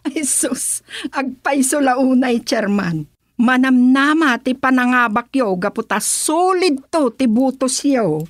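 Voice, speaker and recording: A woman acting out a flattering woman in a radio drama, her voice lilting up and down in a sing-song way as she coaxes. She talks slowly and sits a little high. The sound is dry and close. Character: flattering woman, sweet-talking a chairman. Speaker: supporter flattering the chairman.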